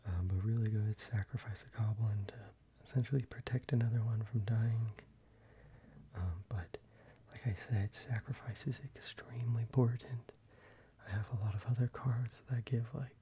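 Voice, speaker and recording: Soft whispering man, very dark mellow tone. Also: mid-range voice. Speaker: ASMR video creator. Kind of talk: soft-spoken ASMR, casual card-collection show-and-tell. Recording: muffled, with background hiss.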